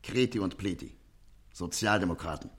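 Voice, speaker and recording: An actor playing an obsequious, shifty informer in a stage comedy, deferential and eager to please. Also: male voice, low voice, normal speed, close to an everyday voice. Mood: sly, deferential. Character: obsequious, shifty male informer, self-styled hunting writer. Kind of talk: theatrical stage comedy acting.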